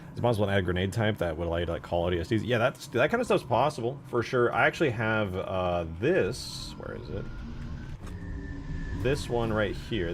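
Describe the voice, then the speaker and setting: A man's voice: low-pitched, background noise. A man giving casual live commentary as a game modder, laid-back, muttering asides to himself and swearing casually. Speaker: game modder streaming his work.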